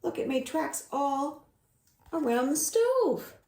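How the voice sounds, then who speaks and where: A young woman leading a children's storytime from home, reading stories and singing rhymes in a lively sing-song way. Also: high-pitched, slow, vocal fry, roomy sound. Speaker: children's librarian.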